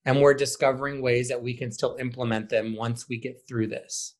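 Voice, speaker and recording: A man's voice: medium pitch, steady tone, moderate pace. Casual man with a mid-range voice. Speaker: high school theater director, podcast guest. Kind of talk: casual conversational interview answers.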